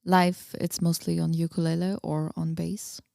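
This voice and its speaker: A woman's voice: on the low side, little pitch movement, normal speed, gravelly, darkish tone, no echo, clean studio sound. A woman from Austria, chatting informally and a little hesitantly as a guest in a casual interview. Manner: laughs easily, hesitant and informal.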